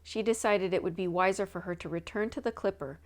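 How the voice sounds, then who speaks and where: A woman's voice: mid-range voice, a little fast. Female reader for children's mystery audiobook, mid-range voice, scripted narration.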